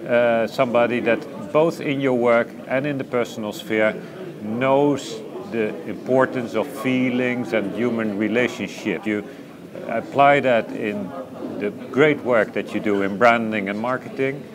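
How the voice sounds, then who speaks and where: An embassy official speaks earnestly to the honoree, pausing as he searches for words. His voice sits at a mid pitch, with a clear crowd murmuring behind him. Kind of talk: tribute interview, speaking to the honoree.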